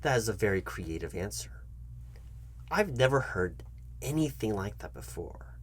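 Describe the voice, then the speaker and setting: A man playing a foolish, patronizing job interviewer in an ASMR comedy roleplay, speaking to the listener in a halting, repetitive, mock-earnest way. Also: lowish voice, very animated, slight background noise. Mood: condescending, testing. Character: foolish, condescending male job interviewer toying with the candidate.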